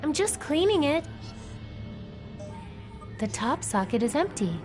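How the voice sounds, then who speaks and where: A woman's voice: sits high, big pitch swings, slow pace, full character voice. An actress playing a plucky, curious teenage baker girl in a video game, breezy and casual. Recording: game music behind the voice. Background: game background music.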